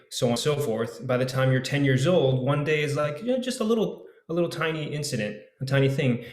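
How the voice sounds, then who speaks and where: A man speaking reflectively in a panel discussion, halting and circling back as he thinks aloud, like an actor sharing a personal view. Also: medium pitch. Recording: roomy, echoey video call sound.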